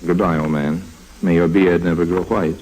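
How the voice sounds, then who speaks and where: An actor playing a roguish, smooth-talking con man on the run in 1950s radio drama, with a rich voice and a wry, urbane manner. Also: steady tone, moderate pace, heavy background noise, natural voice. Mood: suave, wry. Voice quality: deep, rich voice. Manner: wry, urbane, dryly ironic. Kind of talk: 1950s radio drama acting with first-person narration. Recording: old 1950s radio recording.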